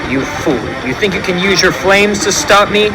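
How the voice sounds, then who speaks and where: A man voicing a calm, haughty silver cosmic herald who speaks in a cold, superior manner. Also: high voice, normal speed, lightly acted, low-quality recording. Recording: music playing underneath.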